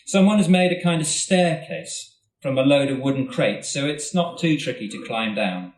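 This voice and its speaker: A man, an author, reading his own short story aloud at a festival event in a steady, measured way, recorded in a hall from a distance. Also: on the high side. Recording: roomy hall, mic at a distance.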